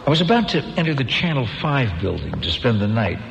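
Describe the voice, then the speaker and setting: An actor narrating as a weary male survivor of an invasion, in measured, somber first person for a radio drama with music. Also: medium pitch, very animated, gravelly, dull audio, noisy background. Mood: solemn. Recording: music bed under the voice.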